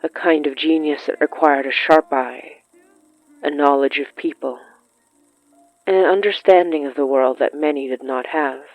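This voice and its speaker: Narrator reading a horror story, slow dramatic pauses, dull dry recording, faint music behind. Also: mid-range voice. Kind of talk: scripted horror fiction narration.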